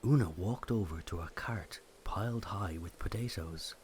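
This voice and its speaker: A man's voice: low voice, moderate pace, a little mellow, a little hiss or hum. A man giving scripted storytelling narration of a mythic legend.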